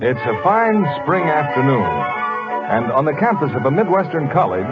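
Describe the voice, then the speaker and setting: A man's voice: fairly high pitch, wildly swooping pitch, normal speed. A man announcing and narrating a 1940s radio theater show in a formal, theatrical scripted style. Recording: dull vintage radio recording with music. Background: loud music.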